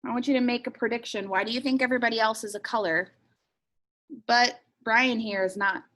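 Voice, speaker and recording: A woman's voice: a little high, fairly even intonation, brisk, a bit bright. A woman teacher reading a picture book aloud expressively and asking young listeners questions.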